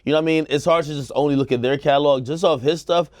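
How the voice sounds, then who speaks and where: A man's voice: on the high side, fairly even intonation, racing, no room sound. Casual male talk show co-host, dry close sound. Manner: hedging, qualifies his opinions. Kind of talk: casual music discussion.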